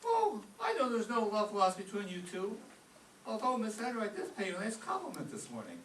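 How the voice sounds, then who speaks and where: An eager, cheeky high school boy, played by an actor gossips in excitable old-time radio comedy style. The pitch leaps around a lot. The sound is roomy and distant, with faint noise behind. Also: a little fast. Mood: gossipy.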